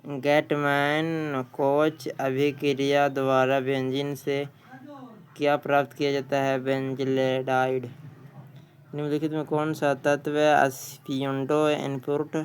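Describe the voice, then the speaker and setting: A male teacher works through exam practice questions and their answers, often saying a question twice. His delivery is slow and quite monotone, at a middling pitch. Some noise sits behind the voice. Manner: repeats questions before answering. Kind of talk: exam quiz lesson, reading questions and answers.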